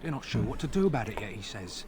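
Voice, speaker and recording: A man's voice: on the low side, big pitch swings, quick pace, gravelly. An actor playing a grumbling, worldly male villager trading gossip in a video game. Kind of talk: video game background dialogue. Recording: noisy game audio with ambience.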